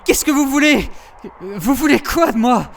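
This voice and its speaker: A man's voice: extremely high pitch, lively intonation, a little slow, vocal fry, recorded from a distance, a little hiss or hum, full character voice. An actor playing a timid, frightened expectant father in a French audio drama, stammering and hesitant. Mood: terrified.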